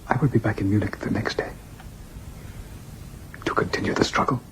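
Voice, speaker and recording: A man playing a grieving, resolute leader in a radio drama. His voice is low and sombre, with faint noise in the recording. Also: steady tone, a little fast, lightly acted. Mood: subdued, resolute. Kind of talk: audio drama acting.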